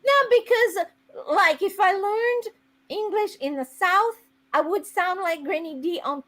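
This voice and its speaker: A woman's voice: very high-pitched, a little slow, a bit bright. A woman with a Brazilian accent chatting casually and playfully on a video call. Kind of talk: casual chat.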